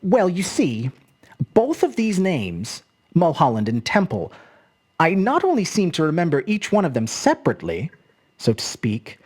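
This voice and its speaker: Woman playing an animated, husky teenage boy. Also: low voice, voice pushed up, exaggerated voice. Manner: hesitant, musing, earnest. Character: polite, puzzled, earnest seventeen-year-old English schoolboy lodger.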